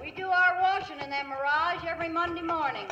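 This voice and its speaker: An actress playing a plain-spoken young ranch daughter, in 1950s radio comedy style. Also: female voice, extremely high pitch, fairly quick, dull audio, noisy background. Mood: teasing, amused. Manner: folksy, drawling, teasing.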